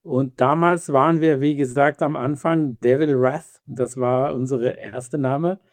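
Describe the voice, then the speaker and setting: A man with an Israeli accent and Hebrew as his first language, answering interview questions about his band in halting, filler-heavy speech with some non-native grammar. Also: medium pitch, steady tone, dry recording. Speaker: band member.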